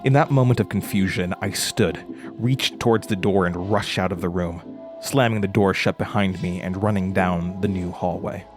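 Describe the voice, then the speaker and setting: An actor playing a haunted, frightened man recounting a horror ordeal in a halting, intense way, as in an audio drama. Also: low-pitched, music in the background, natural voice. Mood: frantic, haunted. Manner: halting, stammering over words, intense. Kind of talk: first-person horror audio drama narration.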